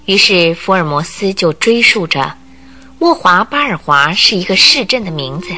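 A woman reading dramatic scripted narration for a radio drama, expressive and suspenseful. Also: lowish voice, normal speed. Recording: music bed under the narration.

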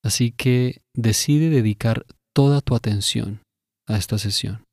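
A man narrating a scripted guided meditation in a measured, instructive way, pausing between phrases. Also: medium pitch, darkish tone, dry recording, clean studio sound.

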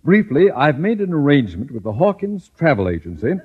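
A man's voice: fairly high pitch, big pitch swings, a little fast, slightly rough. A man playing a pompous, scheming middle-aged school principal with formal, theatrical diction in an old-time radio comedy. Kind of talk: 1940s-50s radio comedy acting. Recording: dull old radio recording.

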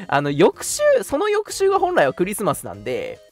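Young man, lightly high voice, very animated intonation, casual radio host, jokey and polite, music underneath. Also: measured pace. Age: young adult. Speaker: co-host of a live radio talk show. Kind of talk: casual radio chat with announcements. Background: background music.

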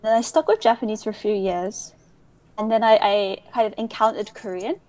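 A woman's voice: medium pitch, fairly even intonation, a little fast, a little mellow, rough recording. A young adult woman, chatting as an interview guest in a rambling, digressive way with many restarts. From United Kingdom. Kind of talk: casual interview chat.

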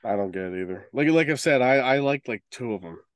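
A man's voice: mid-range voice, animated, quick pace, no echo. Rambling, opinionated man chatting on a podcast. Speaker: podcast co-host. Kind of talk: casual chat about movies and video games.

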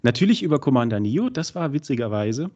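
Husky man hosting, with a cold. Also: medium pitch, very animated, brisk, a little mellow. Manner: chatty, many fillers. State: has a cold. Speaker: podcast host. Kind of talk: casual podcast chat. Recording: dull lo-fi sound.

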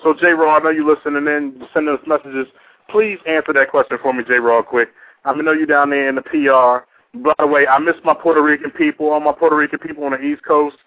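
A man's voice: a little high, steady tone, quick pace, dry recording. A man hosting a casual call-in talk show, speaking in loose slang and repeating himself for emphasis. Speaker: talk radio host. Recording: narrow, tinny phone-line radio sound.

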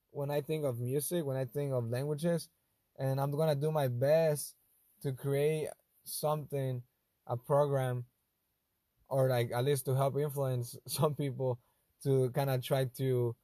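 A young man with a Spanish accent, English not his first language, hosting a casual monologue in a thoughtful, halting way that often restarts phrases. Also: medium pitch, fairly even intonation, warm tone, no echo. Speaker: host.

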